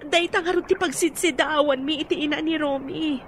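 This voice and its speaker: An actress plays a caring young woman in a radio drama, with an extremely high voice. She speaks earnestly, full of concern and questions, over noticeable background noise. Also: fairly even intonation, brisk, lightly acted. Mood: worried, earnest. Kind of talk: Ilocano radio drama acting. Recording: clear background noise, engine-like ambience.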